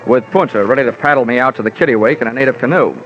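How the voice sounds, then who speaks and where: An actor playing a wry, slangy, hardboiled insurance investigator who also narrates an old-time radio drama. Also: male voice, mid-range voice, very fast, over loud music, close to an everyday voice. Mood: dry narrating. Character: wry, tough American insurance investigator hero. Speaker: lead and narrator. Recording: muffled old radio recording.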